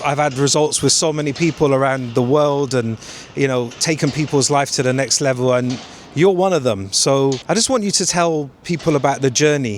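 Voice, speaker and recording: A man's voice: medium pitch, slight background noise. A man, a coach interviewing his client in a conversational style.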